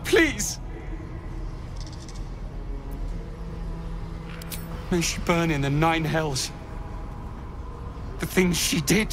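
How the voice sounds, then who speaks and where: A man's voice: sits high, full character voice. An actor playing a haunted, horrified man in a video game, speaking with fervent dread. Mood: disgusted, desperate.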